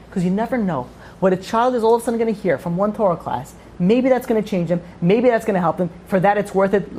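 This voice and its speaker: A woman's voice: mid-range voice, mellow, husky. A woman giving an informal religious lecture to a group, emphatically asking questions and answering them herself. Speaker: religious class teacher.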